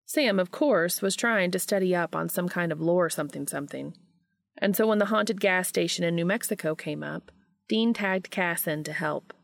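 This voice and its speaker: A woman hosting a podcast and reading fan fiction aloud in an expressive audiobook style. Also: lowish voice, vocal fry, no room sound, clean studio sound.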